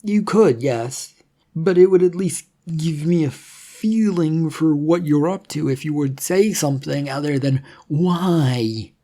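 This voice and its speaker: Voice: mid-range voice, lively intonation, takes it slowly, dark tone, pitched above the performer's own voice. A narrator voicing an exasperated, suspicious young male hero in an adventure audiobook, with an indignant, sarcastic, rambling manner. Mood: suspicious, agitated.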